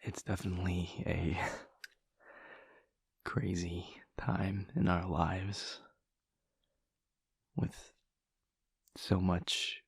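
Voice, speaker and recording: A gentle vampire lover played by a man speaks slowly and close to the listener in an intimate audio roleplay. His voice is low and breathy, often near a whisper in a very dry recording. He is earnest and hesitant, stumbling softly over his words. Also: level tone, dry recording, heavily acted. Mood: tender, curious.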